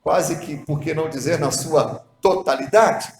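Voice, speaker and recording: A high-voiced man preaches slowly and deliberately, leaning on earnest rhetorical questions to his listeners. His voice rings out in an echoey church room. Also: soft background music. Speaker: pastor. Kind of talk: devotional sermon. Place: church hall.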